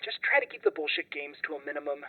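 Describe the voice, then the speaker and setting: A frustrated young man, played by an actor in an audio drama, heard through a dull phone-call sound. His voice is high and husky with big swings in pitch. He is blunt, sweary and distrustful. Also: big pitch swings, fast. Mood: subdued, conciliatory. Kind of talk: conversational audio drama acting.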